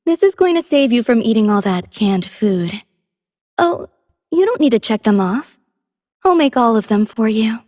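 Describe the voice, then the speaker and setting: A woman playing a gentle, poetic female android companion in a game, soft-spoken and wistful with a breathy voice. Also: on the high side, no echo, studio quality. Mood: cheerful, caring. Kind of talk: scripted game character voice lines.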